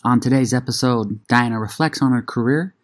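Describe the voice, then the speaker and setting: An upbeat male host introduces his guest from a script, then moves into conversation. His voice is mid-pitched and even, recorded close with no room sound. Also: brisk, dry recording. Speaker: podcast host.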